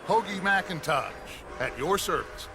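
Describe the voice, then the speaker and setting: A man's voice: sits high, expressive, measured pace, music in the background. An actor playing a theatrical con man with florid, ingratiating manners in a western video game. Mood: charming. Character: silver-tongued, theatrical male con man feigning humble good manners. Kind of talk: dramatic video game acting.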